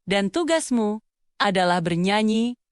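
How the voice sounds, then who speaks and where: A woman's voice: mid-range voice, animated, unhurried, clear, resonant tone, dry recording, studio quality, lightly acted. Woman voicing a tiny, spirited, confident cricket. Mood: proud, encouraging. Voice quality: loud, spirited. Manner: friendly, proud, encouraging. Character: tiny confident cheerful male cricket, friendly encourager.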